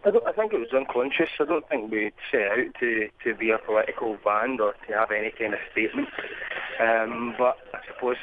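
A man's voice: medium pitch, brisk, a little hiss or hum. A Scottish man, a musician guest, answering a phone interview from a restaurant in a brief, easygoing way. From Scotland. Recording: tinny phone line from a restaurant.

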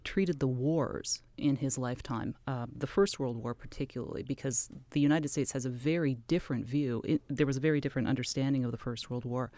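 A woman's voice: rumbling low, a little fast, hardly any pauses, warm tone, dry recording. A woman from Canada, an author and music scholar, answering questions as a radio interview guest in a thoughtful, explanatory way.

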